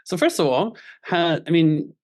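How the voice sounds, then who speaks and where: A man's voice: on the high side, lively intonation, measured pace. A man from Chile giving a friendly, conversational presentation on a video call. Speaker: panel speaker.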